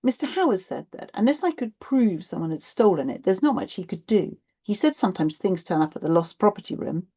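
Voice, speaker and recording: A woman's voice: mid-range voice, big pitch swings, brisk, few pauses, mellow, breathy edge, highs cut off. A woman voicing an aggrieved thirteen-year-old schoolgirl in a children's audiobook, sulky and frustrated, with a complaining manner. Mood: everyday grumbling. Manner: complaining, indignant. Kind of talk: acted children's audiobook dialogue.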